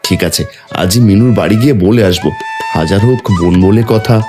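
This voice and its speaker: A man playing a kindly, excitable poor village father in a fairy-tale cartoon, with earnest, dramatic delivery. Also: lowish voice, brisk, over music, natural voice. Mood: earnest excitement.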